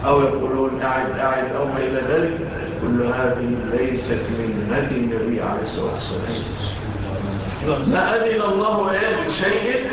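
A man teaching a religious lesson, mixing formal with colloquial asides and rhetorical questions. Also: fairly high pitch. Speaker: Islamic teacher explaining hadith. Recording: echoey distant dull recording with noise.

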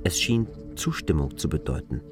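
A man giving an expressive reading of literary narration for a radio play in standard German, drawing out some phrases. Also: very deep voice, normal speed, music in the background.

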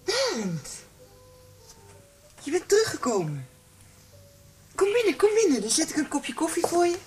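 A woman's voice: extremely high pitch, huge pitch swings, unhurried, exaggerated voice. An actress playing a worldly, cynical young woman sheltering a friend in a radio drama. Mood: welcoming.

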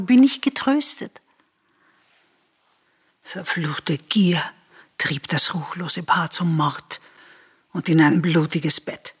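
A devout older confidante speaks very slowly in a husky, rather low voice. She is solemn and formal, in the manner of a classical tragedy on radio. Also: heavily acted. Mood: grim foreboding.

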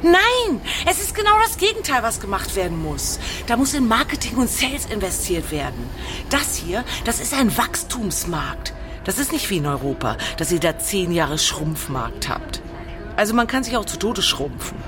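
An actress playing a blunt, outspoken executive who argues emphatically, scornful and full of English business jargon. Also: female voice, medium pitch, sing-song, moderate pace, music in the background, close to an everyday voice. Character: combative, outspoken car sales executive abroad, scornful of cost-cutters. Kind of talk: documentary audio drama monologue. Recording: noisy urban ambience with vehicles.

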